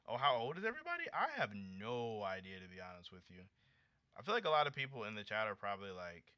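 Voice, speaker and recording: A young American man in his late twenties talks casually as a livestream host. His voice is very bright and punchy, with a slangy, animated delivery. The audio is slightly dull and lo-fi. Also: lowish voice, no room sound. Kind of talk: casual livestream chat with viewers.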